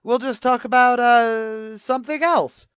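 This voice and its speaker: A man's voice: extremely high pitch, steady tone, unhurried, a bit bright, clean tone, no room sound. A man playing a brash, slangy tavern host with a New York accent in an old-time radio sitcom. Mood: pushy, friendly. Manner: slangy, mangled grammar, eager chatter.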